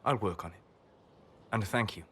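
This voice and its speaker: A man playing a solemn, learned elven mage in a video game, with a measured, formal and precise way of speaking. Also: on the low side, fairly bright tone, a little hiss or hum, natural voice. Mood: subdued, grieving. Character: elven mage, male, solemn, learned, fiercely protective of his friend. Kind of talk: video game cutscene voice acting.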